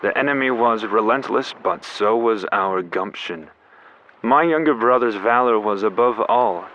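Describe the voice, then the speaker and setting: A man's voice: lowish voice, measured pace, lightly acted. A man playing an earnest, devoted sailor husband in a 1940s radio play, speaking in a stately, tender way. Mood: solemn. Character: earnest, gallant American sailor, devoted elder brother and husband. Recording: dull radio-style audio, faint music.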